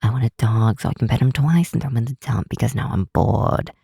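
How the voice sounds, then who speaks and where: Woman acting a husky, cynical grinch girl. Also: extremely low pitch, warm tone, dry recording, studio quality, heavily acted. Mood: cynical ranting. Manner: sardonic, exasperated, drawn-out emphatic words. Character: cynical grinch girl, ranting yet secretly affectionate. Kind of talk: ASMR roleplay, scripted acting to the listener.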